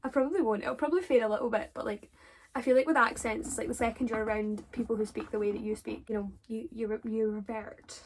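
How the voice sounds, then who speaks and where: A young woman with a Scottish accent, her voice sitting a little high. She talks casually through viewers' questions, rambling with many fillers and restarts. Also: steady tone, fast talker, a little hiss or hum. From Scotland. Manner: chatty, with frequent fillers and self-corrections. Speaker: drama student vlogger.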